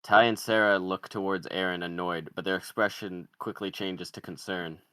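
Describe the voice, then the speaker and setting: A man's voice: low-pitched, steady tone, moderate pace, fairly bright tone, clean tone, dry recording. A man narrating, reading out the stage directions of a screenplay.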